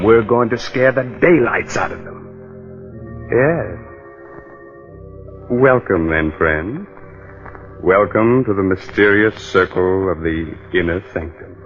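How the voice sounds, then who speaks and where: An actor playing a sinister, teasing host of a spooky mystery show in 1940s radio style, with theatrical, eerie relish. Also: male voice, deep voice. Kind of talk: 1940s radio horror narration. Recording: muffled old-time radio recording with music.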